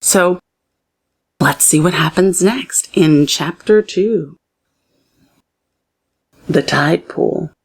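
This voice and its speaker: A woman with a slightly low voice reads a children's story aloud. Her phrasing is expressive and deliberate, pitched to young listeners in the way of a teacher at storytime. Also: gravelly, breathy edge.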